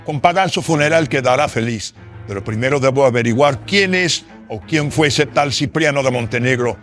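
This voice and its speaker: A man's voice: mid-range voice, normal speed, a bit bright, faint music behind, pitched above the performer's own voice, lightly acted. A man playing a greedy, scheming notary in a horror radio drama, pompous and scornful, giving orders. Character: greedy, scheming, haughty male notary, a villain. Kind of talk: Spanish-language horror radio drama acting.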